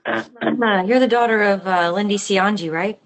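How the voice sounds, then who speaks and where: A woman's voice: mid-range voice, a little slow, vocal fry, faint music behind. A woman playing a composed, wry police lieutenant with a dry, placating manner in a film table read. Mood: calm, inquiring.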